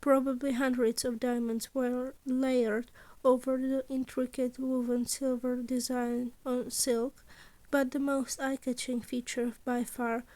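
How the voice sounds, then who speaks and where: A woman's voice: sits high, level tone, measured pace, mellow. A woman reading scripted fiction aloud as a story narrator. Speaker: podfic narrator.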